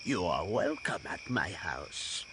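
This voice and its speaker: An actor playing a hospitable old farmer with anxious pleading in him, in a dramatized scripture reading. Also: male voice, low voice, very animated, slow pace, noisy background, heavily acted. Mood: welcoming. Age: older. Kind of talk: dramatized scripture audio acting.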